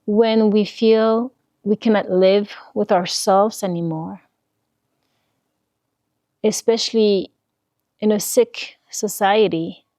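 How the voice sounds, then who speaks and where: Very slow-speaking woman guiding meditation. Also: medium pitch, fairly even intonation, warm tone, no echo, clean studio sound. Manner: soothing, deliberate, pausing between short phrases. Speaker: meditation guide. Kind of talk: guided meditation, spiritual talk.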